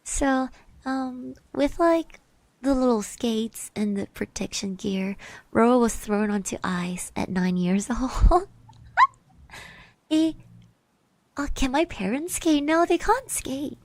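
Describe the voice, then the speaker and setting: A woman voicing a shy, sweet, chatty girl classmate in a soft-spoken, hesitant ASMR roleplay. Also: sits high, slow, no echo. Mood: fond reminiscing. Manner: hesitant, gentle, refers to herself in third person.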